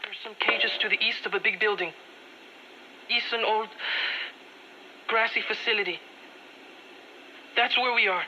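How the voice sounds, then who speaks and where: A woman's voice: mid-range voice, steady tone, measured pace, long pauses. A woman playing a captive young woman pleading for rescue over a radio in a game drama. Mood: fearful, pleading. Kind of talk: dramatic game audio acting. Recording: dull, noisy radio message with clicks.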